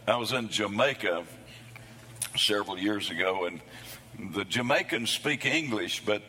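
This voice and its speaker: A man preaching a sermon, his voice hoarse from losing it between services, with a folksy, self-deprecating humor. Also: on the low side, animated, a little slow, pushed and bright, in a large hall. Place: church sanctuary.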